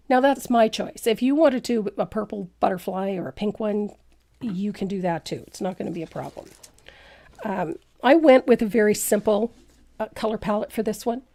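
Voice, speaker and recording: A woman's voice: mid-range voice, dark tone, dry recording. Chatty, congested woman teaching painting. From Canada. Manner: chatty, rambling, self-deprecating, laughs often. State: congested from a cold. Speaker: painting instructor. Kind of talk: live painting tutorial, chatty.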